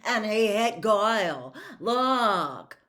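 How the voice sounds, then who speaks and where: A woman voicing an indignant, hot-tempered schoolboy in a storybook reading, shouting forcefully. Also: mid-range voice, expressive, drawn-out words, voice pushed up. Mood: angry shouting.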